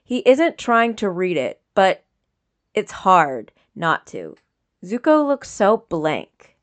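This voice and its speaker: A woman's voice: mid-range voice, big pitch swings, slow. A woman reading scripted fiction as an audiobook narrator.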